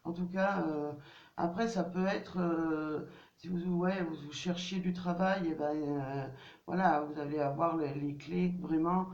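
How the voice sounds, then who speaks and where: A woman with a cold reads tarot cards for her viewers in a slow, halting way, stopping often on fillers and restarts. Her voice has a gravelly fry. She sounds distant from the mic in an echoey room. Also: low-pitched, steady tone, darkish tone. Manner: hesitant, many fillers and restarts. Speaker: tarot card reader. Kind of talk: casual card reading to viewers. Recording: distant, dull, roomy home recording.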